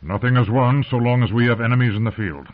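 A man voicing a stern, commanding old patriarch in a fantasy audiobook, speaking in a measured, authoritative manner. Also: medium pitch, pitched above the performer's own voice, lightly acted. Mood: firm. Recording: narrow, phone-like lo-fi audio.

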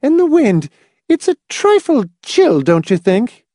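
A woman's voice: high-pitched, very animated, unhurried, dark tone, dry recording, studio quality, pitched above the performer's own voice, full character voice. A man reading a story, voicing a fussy, gushing, complacent old woman with a prim, matter-of-fact manner. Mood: genteel fussing. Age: older.

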